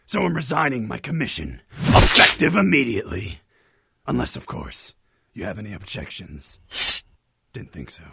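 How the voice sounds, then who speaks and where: An actor playing a gruff, insolent tough-guy mutant agent with a gravelly, sardonic voice in a superhero audio drama. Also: fairly low pitch, big pitch swings, normal speed, bright, forceful tone, no room sound, studio quality, full character voice. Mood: defiant. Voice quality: gravelly, raspy. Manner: sardonic, growling tough talk. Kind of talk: fan-made superhero audio drama acting.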